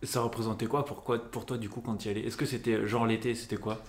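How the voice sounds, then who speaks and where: An interviewer asking gentle, probing questions. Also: mid-range voice, steady tone, quick pace, reverberant, slight background noise.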